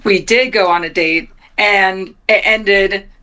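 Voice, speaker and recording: A woman's voice: mid-range voice, fairly even intonation, slow, pushed and bright. A young adult woman chatting casually as a player in a tabletop game. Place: small home room, video call. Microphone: video call from a desk.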